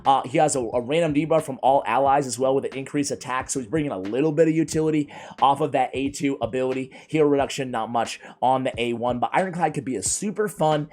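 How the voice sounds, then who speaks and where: Hoarse, chatty gaming commentator man. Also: medium pitch, fast talker. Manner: energetic, chatty, keeps addressing viewers as guys. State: strained, worn-out voice from a cold. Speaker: gaming YouTuber. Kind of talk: casual game review commentary.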